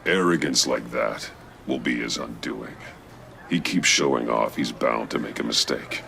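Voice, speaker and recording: An actor playing a grim, terse masked vigilante detective in a video game, grave and guarded. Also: male voice, a bass voice, a little slow, gravelly, bright, forceful tone, slight background noise, heavily acted. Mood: grim focus.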